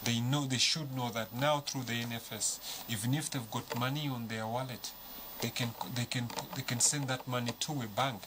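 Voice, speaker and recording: A man with a Zambian accent speaking as a panel guest, measured and explanatory, walking through examples. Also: mid-range voice, fairly even intonation. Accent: Zambian English. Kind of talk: studio panel discussion. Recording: noisy studio recording.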